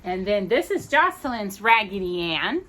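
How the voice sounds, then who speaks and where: A woman reading a storybook aloud for children, expressive and gentle, with chatty asides. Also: medium pitch, moderate pace, poor audio.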